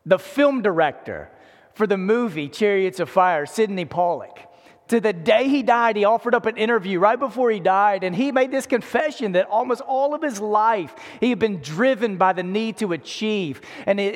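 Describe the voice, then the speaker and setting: A male preacher in his forties speaks in a notably high register. His delivery is conversational yet emphatic, circling back over phrases to give them weight. Long reverb from a large hall trails his words. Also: expressive, moderate pace. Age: 40s. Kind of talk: conversational sermon. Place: large indoor room before an in-person audience.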